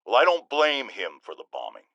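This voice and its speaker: A man's voice: medium pitch, huge pitch swings, a little fast, vocal fry, sharp tone, no echo, highs cut off, full character voice. An American man playing a pompous, self-righteous older talk radio host in a video game, indignant and emphatic. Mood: somber, firm. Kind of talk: theatrical radio talk show rant.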